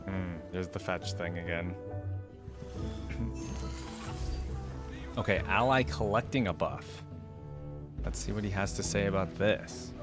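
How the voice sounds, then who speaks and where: A man's voice: low voice, slow pace, long pauses. Man musing casually over background music. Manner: musing, thinking aloud. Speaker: reaction video host. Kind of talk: casual reaction commentary.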